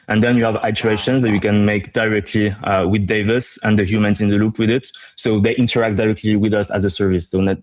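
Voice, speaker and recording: A man from Morocco with a deep voice and a narrow, steady pitch range. He talks as a company founder in an interview, explaining his business conversationally and repeating words for emphasis. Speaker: startup co-founder being interviewed.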